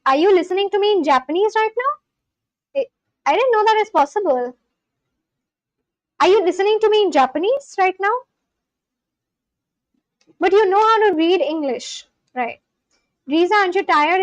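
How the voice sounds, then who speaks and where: A young woman whose first language is Bengali, chatting casually on a live stream, reading out viewers' comments and getting excited. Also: very high voice, dry recording, rough recording. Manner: excitable, gasps and exclaims. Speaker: live streamer learning Spanish.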